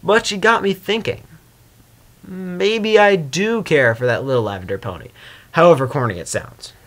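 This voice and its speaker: A man's voice: a little high, animated, moderate pace, a bit bright. A man voicing a vain, sarcastic, grumbling male spirit of chaos in a theatrical fan fiction reading. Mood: brooding. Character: Discord, male chaos spirit, sardonic, vain, wary prisoner. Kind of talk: dramatic first-person fan fiction reading.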